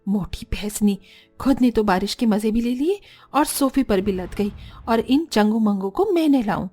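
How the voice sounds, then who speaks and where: A woman's voice: fairly high pitch, lively intonation, moderate pace, mellow, soft background music. A woman voicing a young, put-upon daughter-in-law in a cartoon family drama, meek with elders and grumbling when alone. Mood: resentful muttering.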